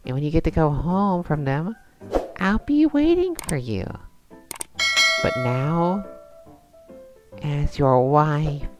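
A woman voicing a lovestruck, earnest young female hedgehog in a cartoon comic dub, sweet but pushy, with coy, drawn-out emphasis. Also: low voice, very animated, music in the background, exaggerated voice. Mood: earnest, loving. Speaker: voice actor in a fan comic dub.

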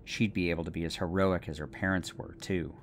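A man's voice: steady tone, brisk. A man narrating a scripted bedtime story for an audio drama. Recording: faint low rumbling noise.

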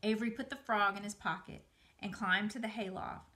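A middle-aged woman narrates a children's book like an educator reading aloud. Her voice is bright and her delivery expressive and dramatized, recorded in a small room. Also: medium pitch, brisk. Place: small room by a window, indoors.

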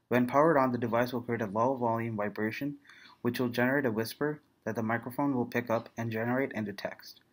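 A young man with a medium-pitched voice reads from a script. He is a student presenter going through slides in a formal project talk. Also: steady tone, fairly quick. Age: young adult.

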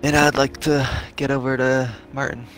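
A man talks in casual gaming chat with friends at a medium pitch. He is a streamer, and video game music runs behind his voice. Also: flat delivery, moderate pace, a bit bright, low-quality recording.

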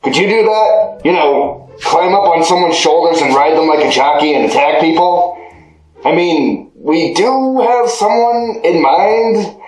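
A man's voice: a little high, unhurried, darkish tone, slight background noise, lightly acted. A man voicing a cocky male ice-powered fighter who teases and laughs at his own jokes. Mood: amused. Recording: echoey room, faint music.

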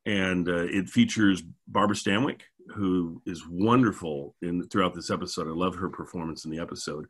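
A middle-aged man with a husky voice, hosting a casual podcast discussion. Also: low voice, fairly even intonation, normal speed, hardly any pauses, a bit bright. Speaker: podcast host. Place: indoors, on video call.

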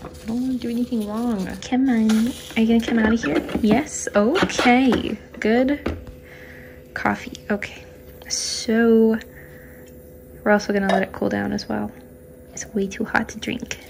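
A young woman chatting casually in her home kitchen at night, giggling and often dropping to a hushed voice, recorded on a phone camera on the counter. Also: on the high side, fairly even intonation, unhurried, creaky, noisy background. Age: young adult. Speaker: vlogger. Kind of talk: casual cooking vlog chat.

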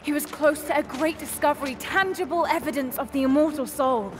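A woman's voice: high voice, a little fast, lightly acted. A woman playing a driven, haunted young adventurer in a video game, with a British accent and an intense manner. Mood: earnest, insistent. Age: young adult. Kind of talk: cinematic video game acting.

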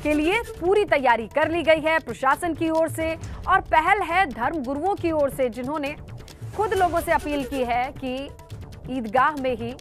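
High-pitched young woman news anchor. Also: measured pace. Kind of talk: live breaking news presentation. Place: television news studio. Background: faint news music.

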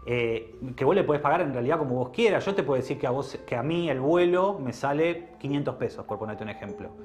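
A man answering interview questions, explaining things in a measured way with hesitant fillers. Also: mid-range voice, lively intonation, darkish tone, faint music behind.

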